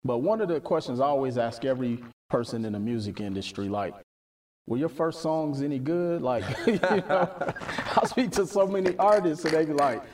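A man's voice: on the high side, animated, moderate pace, a little mellow, recorded from a distance, a little hiss or hum. A man hosting a podcast interview in an upbeat, affirming way. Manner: upbeat, affirming, frequent "right" and "definitely". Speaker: podcast host.

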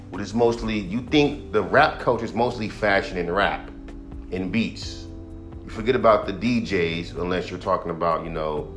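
Male podcast host, 30s, rapper; blunt profane rant, self-described monotone delivery, faint music bed. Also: fairly low pitch. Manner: blunt, heated, heavy swearing.

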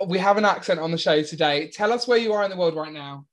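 A woman's voice: on the low side, fast talker. A British woman from the UK hosting a podcast interview, bubbly and gushing. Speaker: podcast host.

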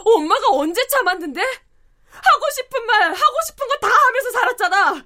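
An exasperated young daughter. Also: female voice, sits high, very animated, a little slow, a bit bright, dry recording, clean studio sound, heavily acted. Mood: accusing tears. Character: exasperated adult daughter, sharp-tongued, guilt-ridden. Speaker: daughter and narrator. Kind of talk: TV drama acting with inner narration.

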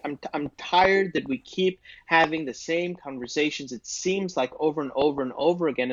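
A man's voice: a little high, no room sound, lo-fi sound. A man talking earnestly in a casual faith discussion, with many restarts. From Indian heritage, not thick accent. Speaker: podcast co-host, ministry team member.

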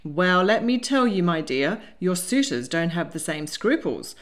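A woman voicing a hearty, affectionate older gentleman lord in a storybook, jovial and teasing. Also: lowish voice, big pitch swings, unhurried. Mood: amused.

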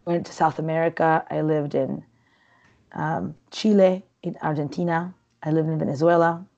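A low-voiced woman from Peru chats freely as an interview guest, wandering from thought to thought with plenty of fillers and restarts. Her tone is dark and mellow. The recording sounds a bit dull and lo-fi. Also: fairly even intonation, normal speed, gravelly. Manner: chatty and rambling, many fillers and restarts. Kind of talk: casual interview conversation.

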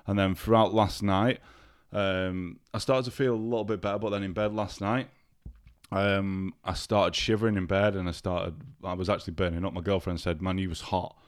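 A man's voice: low-pitched, fairly even intonation, normal speed, dry recording, studio quality. A man hosting a casual solo podcast, chatty and swearing freely while sounding ill and congested. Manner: chatty, swears freely, addresses listeners directly. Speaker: podcast host.

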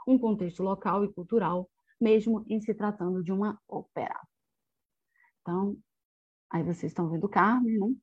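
A woman's voice: mid-range voice, normal speed, pauses often, breathy edge, no echo, lo-fi sound. A woman with a Brazilian Portuguese accent giving an academic presentation on slides, partly reading from a text, as a researcher. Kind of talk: academic lecture with slides, partly read aloud.

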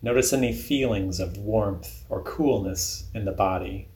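A man leading a guided body scan meditation in a calm, deliberate, instructional way. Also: fairly low pitch, roomy sound.

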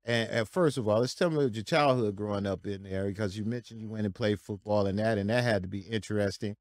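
A man's voice: fairly low pitch, fast, dry recording, studio quality. A man hosting an interview show, asking his guest questions in a casual, conversational way. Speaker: podcast host.

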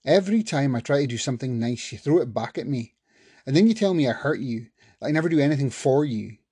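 A man voicing an angry, volatile man whose bitter words rise into yelling at his partner. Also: high voice, fast, creaky, husky, no room sound, pitched above the performer's own voice, exaggerated voice. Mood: furious.

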